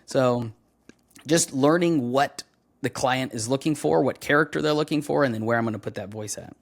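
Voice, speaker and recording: A young adult man, a voice actor, chatting casually in an interview, relaxed and full of fillers as he tells stories. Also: mid-range voice, moderate pace, gravelly. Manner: relaxed, storytelling, many fillers. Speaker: voice actor guest.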